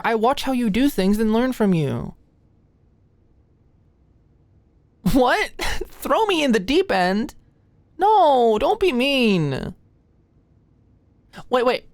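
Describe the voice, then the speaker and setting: A woman's voice: fairly high pitch, dry recording, full character voice. A woman playing an anxious, flustered young medical student in an intimate ASMR roleplay, with a hesitant, stammering, playfully defensive way of talking. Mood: flustered, protesting. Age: young adult. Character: anxious, flustered, endearing short female medical student study buddy.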